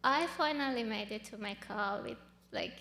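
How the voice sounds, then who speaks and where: A woman in her thirties from Iran, whose first language is Farsi, telling her own story in an interview, earnest and halting with many fillers. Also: medium pitch, pushed and bright, big-room sound, a little hiss or hum. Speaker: guest. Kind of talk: personal testimony interview.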